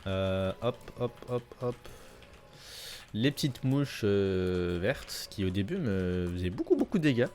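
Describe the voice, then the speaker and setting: A man giving relaxed, rambling gameplay commentary with lots of fillers and repeated phrases. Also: on the low side, a little slow, a little hiss or hum. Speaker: gaming YouTuber. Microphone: desk mic at a gaming setup.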